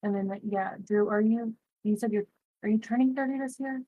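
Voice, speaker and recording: A woman's voice: on the high side, normal speed, dark tone, no echo, poor audio. A woman in her early thirties co-hosting a podcast, talking earnestly and reflectively in casual chat. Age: 30s. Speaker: podcast co-host.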